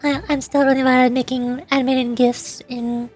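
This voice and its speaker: A woman live-streaming a game and chatting in halting, self-conscious asides. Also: high voice, flat delivery, darkish tone, faint music behind. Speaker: streamer, virtual YouTuber.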